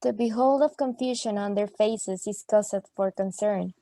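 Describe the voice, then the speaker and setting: A woman's voice: medium pitch, moderate pace, warm tone, no echo, close to an everyday voice. A woman playing a solemn, wounded young woman who declaims in formal, archaic phrasing in a radio play. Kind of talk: amateur radio play acting.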